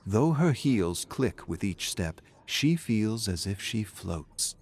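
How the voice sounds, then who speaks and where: A man narrating a dark scripted horror story in a measured, solemn, dramatic manner. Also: lowish voice, big pitch swings.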